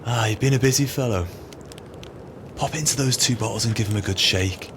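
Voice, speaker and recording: An actor playing a cold, calculating swindler with a wry, scheming manner in an audio play. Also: male voice, medium pitch, brisk, noisy background, close to an everyday voice. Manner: wry, scheming, colourful phrasing. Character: cold, calculating male swindler plotting murder.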